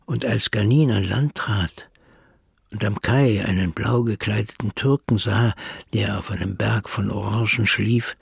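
A man's voice: lowish voice, steady tone, measured pace, gravelly, slightly rough, no echo, studio quality. A man reading literary narration as the narrator of a drama.

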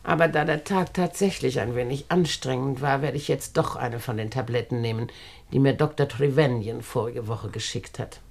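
An actress playing a wealthy, fussy, condescending older aunt in a radio play, speaking in a bossy, sweetly patronising way. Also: female voice, extremely low pitch, normal speed, vocal fry, darkish tone, heavily acted. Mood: self-satisfied, composed. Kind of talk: German radio play acting.

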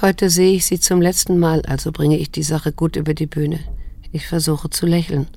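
Troubled grown daughter in a radio play, creaky voice, controlled and serious, quietly insistent. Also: female voice, sits low, little pitch movement, moderate pace. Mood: uneasy, introspective. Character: troubled, restrained adult daughter confronting her mother. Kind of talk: radio drama acting with introspective narration.

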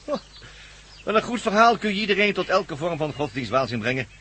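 A man's voice: sits high, very animated, fairly quick, pushed and bright, slight background noise, exaggerated voice. An actor playing a megalomaniac villain in a radio drama, menacing, pompous and theatrical, gloating over his schemes. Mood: grandiose, fanatical. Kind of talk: Dutch radio drama acting.

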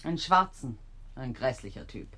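An actress playing a dry, worldly police secretary, faintly amused, in a 1970s German radio crime drama. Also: female voice, rumbling low, sing-song, moderate pace, pushed and bright, full character voice. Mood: dryly amused. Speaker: actor.

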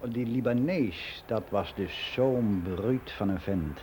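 Mellow, gossipy middle-aged man. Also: on the low side, takes it slowly, slight background noise. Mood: confiding, weary. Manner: rambling, confiding, matter-of-fact. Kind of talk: radio drama monologue.

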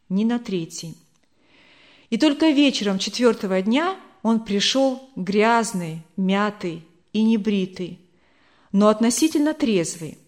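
A woman's voice: medium pitch, a little mellow. A woman narrating a novel as a scripted audiobook.

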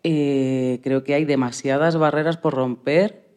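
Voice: medium pitch, steady tone, measured pace, darkish tone. Earnest interviewer. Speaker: radio show interviewer. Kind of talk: conversational interview, reflective commentary.

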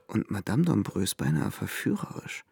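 Casting: vain young romantic in radio drama, lowish creaky voice, expressive and musing, over music. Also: male voice, normal speed, heavily acted. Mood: smug, daydreaming. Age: young adult. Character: ambitious, romantic, easily piqued young man in Paris.

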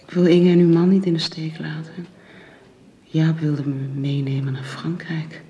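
An actress playing a devoted, earnest young woman in a radio drama, gentle, hushed and hesitant. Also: low-pitched, flat delivery, moderate pace, natural voice. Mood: earnest, troubled. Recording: dull radio drama recording, faint noise.

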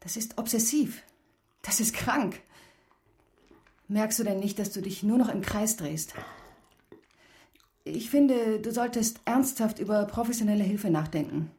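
An actress playing a fed-up, earnest wife in a radio drama, firm and deliberate in her reproach. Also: mid-range voice, expressive, mellow. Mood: fed up, grave.

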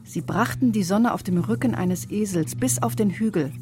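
A woman's voice: medium pitch, normal speed, over music, close to an everyday voice. A woman reciting poetry in a radio play as a sober chronicler of war, in a plain, declarative manner. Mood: sober, stark.

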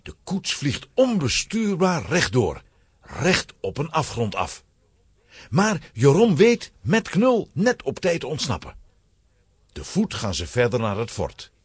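Husky, highly animated male storyteller. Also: takes it slowly, dry recording, studio quality. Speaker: narrator. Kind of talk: scripted children's story narration.

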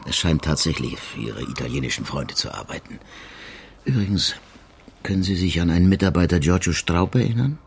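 Radio crime drama: shrewd chief inspector, very low voice, laconic irony, faint noise behind. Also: male voice, expressive, a little fast, gravelly. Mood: probing, authoritative. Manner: dry, laconic, ironic questioning. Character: dry, shrewd middle-aged male chief police inspector.

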